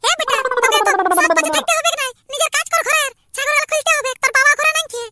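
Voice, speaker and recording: Shrill, scolding village mother acted by a man. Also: racing, pushed and bright, no room sound, exaggerated voice. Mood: angry scolding. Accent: Purulia Bengali. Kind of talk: amateur cartoon comedy dialogue.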